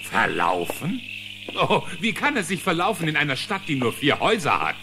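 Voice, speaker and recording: Lively, wisecracking cartoon bear. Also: male voice, a little high, moderate pace, over music. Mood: wry. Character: wisecracking, easygoing male cartoon bear pilot. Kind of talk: cartoon radio play acting in German.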